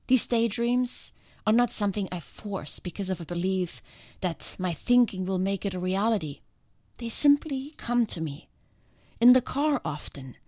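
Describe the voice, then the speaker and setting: A woman speaks close to a podcast microphone in a calm, earnest and reflective way, hosting her own solo show. Her voice sounds congested from a sinus infection, and the recording is dry, with no room sound. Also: mid-range voice, dry recording. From outside the country she now lives in. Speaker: podcast host.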